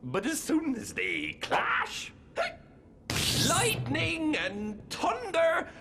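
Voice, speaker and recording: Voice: high-pitched, wildly swooping pitch, drawn-out words, vocal fry, background noise, exaggerated voice. An actor voicing a drunken, giddy electric particle in a cartoon, rambling jollily. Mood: giddy, excited. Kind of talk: cartoon voice acting.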